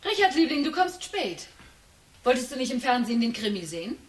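An actress playing an affectionate, probing middle-aged wife in a radio drama. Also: female voice, high-pitched, big pitch swings, brisk, a little hiss or hum. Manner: affectionate, inquisitive.

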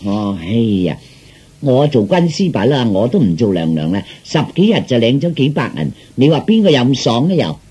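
A man takes the part of a sceptical empress in a comic audio story. The character is blunt and earthy, grumbling sarcastically in a husky, unpolished voice. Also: medium pitch, very animated, normal speed, warm tone. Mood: complaining. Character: blunt, nagging, sceptical empress, wife of emperor.

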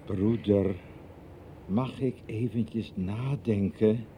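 An actor in a radio soap playing a puzzled, hesitant man with a halting, questioning manner. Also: lowish voice, dark tone, a little hiss or hum. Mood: hesitant, irritated. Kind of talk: 1990s Dutch radio soap acting.

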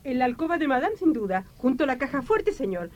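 An actress playing a brisk, obedient policewoman lieutenant in an old radio drama. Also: high-pitched, sing-song, a little mellow, muffled recording. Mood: brisk, reporting.

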